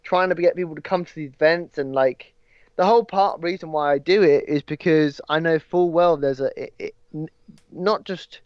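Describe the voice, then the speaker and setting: Young English man, smooth dry voice. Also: a little high, normal speed, dry recording. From England. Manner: rambling, many restarts. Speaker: podcast guest. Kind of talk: casual chat. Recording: dry, slightly dull audio.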